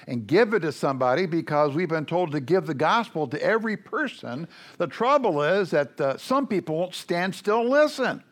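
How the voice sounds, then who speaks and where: An older man preaching to a congregation in a loud, folksy, joking way, rambling with many fillers. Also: fairly high pitch.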